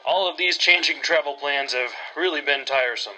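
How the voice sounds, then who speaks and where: An actor playing a fussy, weary city gentleman in a radio drama, polite and formal in manner. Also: a little high, moderate pace, a little hiss or hum, low-quality recording. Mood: weary exasperation. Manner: polite, formal, put-upon. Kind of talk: old-time radio drama acting.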